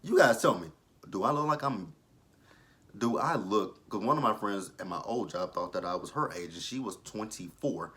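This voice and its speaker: Blunt, forceful thirty-year-old man. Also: fairly low pitch, animated, moderate pace. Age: 30s. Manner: blunt, opinionated, swears freely. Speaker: TV show reviewer. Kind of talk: casual review vlog commentary.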